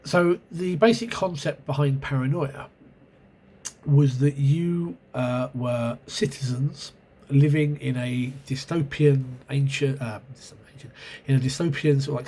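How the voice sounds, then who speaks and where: A man's voice: medium pitch, fairly even intonation, normal speed, mellow. A man with a stuffy, sore-throated voice talks through his memories of an old tabletop game, hesitant and full of ums and self-corrections. His voice sits in a middle range for a man. A faint hiss of background noise hangs under the recording. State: congested with a cold and sore throat. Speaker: channel host reviewing role-playing games. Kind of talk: casual spoken video review. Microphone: camera mic.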